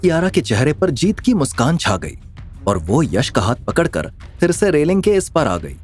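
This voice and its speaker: Animated male dramatic story narrator. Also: a little high, normal speed. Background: music.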